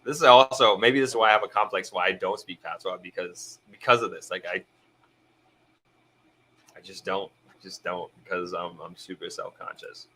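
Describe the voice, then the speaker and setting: A man's voice: deep voice, moderate pace, pushed and bright, breathy edge, rough recording. A young man born in Jamaica, hosting a live stream and chatting casually about viewer comments, often restarting his sentences as he thinks aloud.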